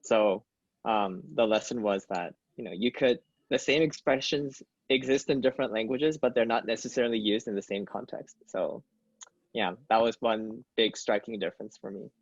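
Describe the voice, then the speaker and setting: A man's voice: fairly low pitch, moderate pace, no echo, muffled recording. A young man from Canada chatting casually on a video call, hesitant with many fillers and restarts. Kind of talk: casual group chat.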